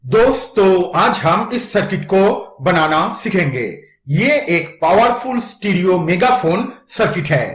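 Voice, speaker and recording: A man's voice: high-pitched, lively intonation, a little slow, fairly bright tone, roomy sound. A man giving a methodical, instructional step-by-step narration for an electronics tutorial.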